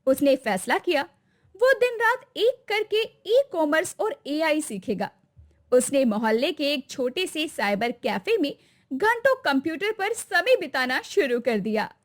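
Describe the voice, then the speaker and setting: A woman's voice: sits high, big pitch swings, unhurried. A woman narrating a dramatic scripted story as the storyteller.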